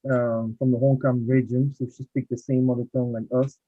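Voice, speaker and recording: A man hosting a casual online chat, talking in an animated, insistent way. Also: mid-range voice, fairly quick, dry recording. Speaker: host. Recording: dull lo-fi sound.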